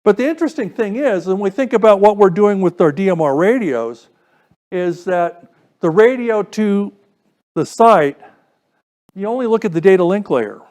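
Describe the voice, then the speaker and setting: A man's voice: sits high, lively intonation, moderate pace, darkish tone. A man presenting an informal technical lecture, explaining step by step and putting questions to his listeners. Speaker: presenter giving a technical talk to a radio club.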